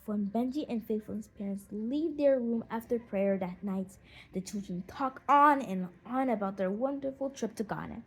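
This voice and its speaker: A woman's voice: on the high side, normal speed, husky, low-quality recording. A woman narrating a children's storybook as an audiobook.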